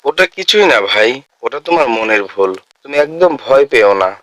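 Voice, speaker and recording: A man playing a calm, devout young village friend in a cartoon, speaking in a steady, reassuring way. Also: mid-range voice, normal speed, natural voice, poor audio.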